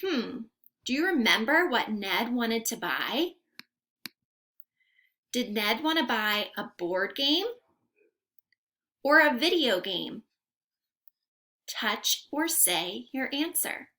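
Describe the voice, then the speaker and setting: A young woman gives an interactive read-aloud lesson for young children. Her voice is high and swings widely in pitch, and she takes her time over each phrase. She speaks in a carefully enunciated, sing-song teacher's manner. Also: very animated, slow pace, pauses often, pushed and bright. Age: young adult. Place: room at home.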